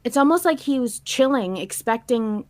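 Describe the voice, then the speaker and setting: A woman's voice: a little high, expressive, normal speed. A woman co-hosting a podcast, talking casually about a story in an earnest, reflective and emphatic way. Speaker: podcast co-host. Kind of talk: casual discussion of a fiction podcast.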